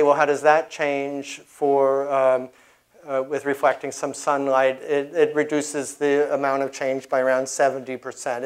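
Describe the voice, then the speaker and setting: A middle-aged man giving an informal science lecture with slides, often restarting his sentences as he explains. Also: a little high. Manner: halting, frequently restarting sentences. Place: indoor lecture room, at a podium.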